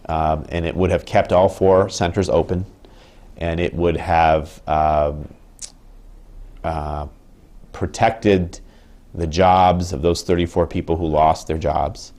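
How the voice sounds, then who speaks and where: Man, 30s, union rep in an interview, deep voice, careful and halting delivery. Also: fairly even intonation, darkish tone. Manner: measured, thoughtful, with frequent ums and restarts. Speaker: union representative. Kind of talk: interview answers. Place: indoor office with wood paneling.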